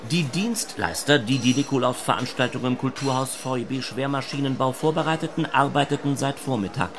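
A man reading scripted literary narration in a measured, deliberate way. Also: medium pitch, music in the background. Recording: clear background noise.

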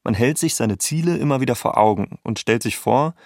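A man's voice: mid-range voice, animated, a little fast, no room sound, clean studio sound. A man hosting and narrating a documentary podcast from a script.

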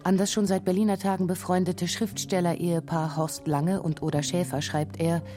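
A woman narrates a scripted documentary in a very low register. Her tone is dark and mellow, and there is faint music behind her. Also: level tone, normal speed.

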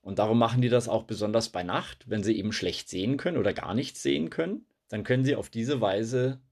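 A man's voice: fairly low pitch, fairly quick, gravelly, a little mellow. A man answering children's questions as an expert, explaining things in simple spoken language.

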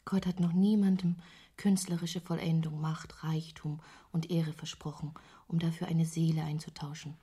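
An actress playing an intense, wry woman painter in a radio play, giving terse answers in a hushed, breathy voice. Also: low-pitched, little pitch movement, moderate pace. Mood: dry, wry. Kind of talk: intimate German radio play dialogue.

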